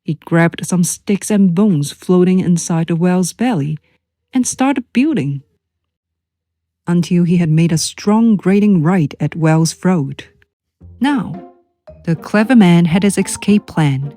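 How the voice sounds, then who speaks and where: Slow, mellow woman reading a bedtime story. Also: on the low side, no room sound, studio quality, soft background music. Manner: gentle, expressive.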